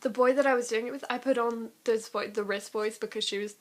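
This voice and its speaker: A woman's voice: a little high, fairly even intonation, a little fast, slight background noise. A young woman in a casual, rambling vlog chat, giggly and prone to laughing at herself as she does impressions. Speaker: amateur vlogger doing impressions.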